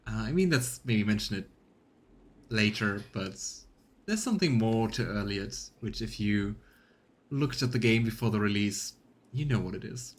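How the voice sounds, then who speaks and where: A man's voice: lowish voice, animated, normal speed. A man who streams games, reading out narration and adding casual commentary as he plays. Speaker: let's play streamer.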